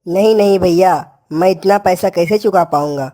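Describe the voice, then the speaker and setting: Mellow, troubled poor village man acted by a man. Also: sits high, moderate pace, close to an everyday voice. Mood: pleading. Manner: plaintive, earnest.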